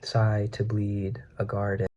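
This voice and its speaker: A young man in his twenties solemnly reads his poetry in a measured way, in a small room on a webcam mic. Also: fairly low pitch, monotone, dark tone. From United States. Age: 20s. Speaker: poet. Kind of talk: poetry reading. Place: small plain room indoors.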